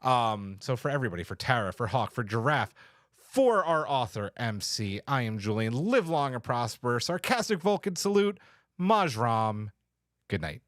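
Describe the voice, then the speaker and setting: A man's voice: medium pitch, sing-song, normal speed, a bit bright, no room sound, studio quality. A man hosting a podcast in casual chat, with an informal, slangy, mildly profane way of talking. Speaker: podcast host.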